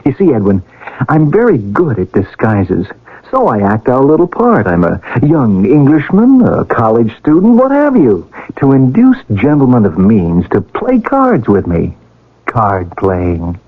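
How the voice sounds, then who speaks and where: Husky, cocky young hustler. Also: male voice, mid-range voice, wildly swooping pitch, unhurried. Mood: smug, boastful. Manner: self-satisfied, theatrical. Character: boastful, cocky young American actor turned card hustler. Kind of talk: radio drama acting. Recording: dull, band-limited old radio recording.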